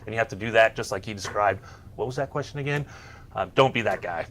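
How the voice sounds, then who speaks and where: Casual male presenter, clear background noise. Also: fairly low pitch, fast talker, fairly bright tone. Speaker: co-host of a workplace tech show. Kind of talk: semi-scripted presenting with casual asides.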